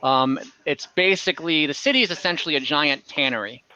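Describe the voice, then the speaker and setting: Guy running a dnd game, bright forward voice, explains stuff off the cuff, slightly dull dry call audio. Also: on the high side, a little fast, clean tone. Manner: conversational, explanatory. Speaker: game master. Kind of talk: improvised narration of a tabletop game.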